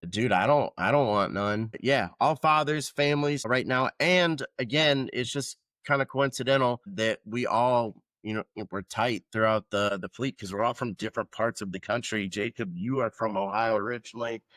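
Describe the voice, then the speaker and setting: A man's voice: medium pitch, normal speed, hardly any pauses, a bit bright, no echo. A man in his thirties from Georgia who hosts a podcast and talks in a loose, rambling way with casual swearing. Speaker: podcast host, veteran. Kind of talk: casual chat.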